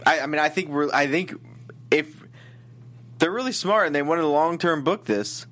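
A man's voice: a little high, normal speed, fairly bright tone. A man co-hosting a casual wrestling show, ranting about the way matches are booked with exasperated opinions. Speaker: wrestling recap co-host.

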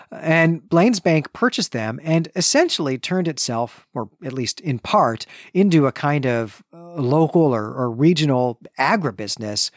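A man with a mid-range voice walks through a novel's chapter in a measured, analytical way, often correcting himself. The recording is close and dry, with no room sound. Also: medium pitch, no echo. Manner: thoughtful, measured, with frequent self-corrections. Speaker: podcast co-host. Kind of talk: literary discussion podcast, summarizing chapters.

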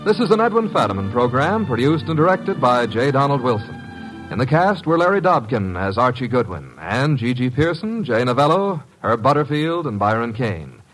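A man's voice: medium pitch, big pitch swings, normal speed, a bit bright, low-quality recording. A man announcing programme credits in the scripted style of 1950s radio. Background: music.

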